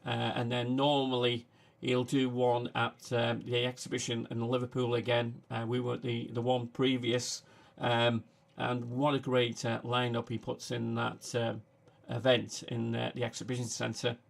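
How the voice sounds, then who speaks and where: A man with a Manchester accent chats casually on a livestream, his voice gravelly and fairly flat. He stops and starts, filling gaps with "uh" as he talks. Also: mid-range voice. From England. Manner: halting, frequent "uh" fillers. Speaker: livestream host.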